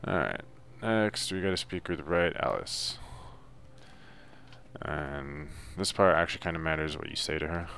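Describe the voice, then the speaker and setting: A man's voice: a bass voice, measured pace, creaky, pushed and bright, faint music behind. A man giving a casual, unscripted video game walkthrough in a loose, hesitant, laid-back way. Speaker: video game guide narrator.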